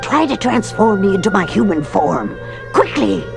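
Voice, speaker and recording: A woman's voice: mid-range voice, big pitch swings, normal speed, creaky. A woman playing an urgent, commanding sorceress trapped in animal form, speaking solemnly in a children's audio storybook. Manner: solemn, emphatic, imperative. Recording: loud music under the voice.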